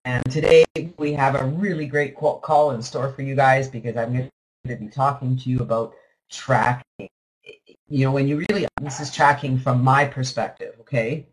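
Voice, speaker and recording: A man's voice: mid-range voice, fairly even intonation, normal speed, low-quality recording. A man hosting a live webinar in a chatty, upbeat way, rambling with restarts and with a slight lingering cough. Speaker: webinar host. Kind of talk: casual talk on a live webinar call.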